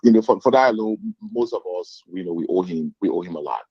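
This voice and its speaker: A man from Liberia with a Liberian accent, speaking as a guest in measured, thoughtful commentary with hesitant restarts. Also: low voice, lively intonation, husky, no room sound, lo-fi sound. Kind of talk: reflective call-in commentary.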